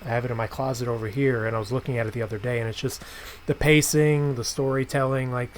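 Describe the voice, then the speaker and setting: A man's voice: medium pitch, steady tone, fast, a little hiss or hum. A man speaking as a podcast host in a casual interview chat.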